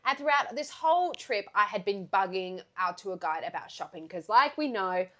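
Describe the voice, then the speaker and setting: A woman's voice: mid-range voice, normal speed. A young woman with an Australian accent chatting casually as a podcast co-host, telling travel stories in a giggly, slangy way. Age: young adult. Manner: giggly, animated, slangy, acts out quotes. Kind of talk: casual chat, travel storytelling.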